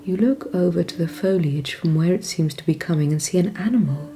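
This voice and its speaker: A woman narrating a scripted guided meditation in a calm, measured, soothing way, with phrases that often trail off softly and breathily. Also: on the low side.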